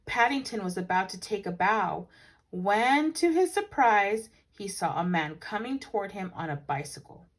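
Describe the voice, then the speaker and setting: A woman teacher reads a storybook to children. Her delivery is expressive and animated, leaning into dramatic emphasis as the tale unfolds. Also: mid-range voice, normal speed. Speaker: teacher reading a children's book aloud. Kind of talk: expressive storybook read-aloud.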